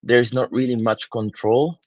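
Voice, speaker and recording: A man's voice: medium pitch, steady tone, a little slow, darkish tone, no room sound, highs cut off. A man in his twenties from Peru, a digital marketing consultant answering interview questions thoughtfully, with many fillers and restarts. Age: 20s.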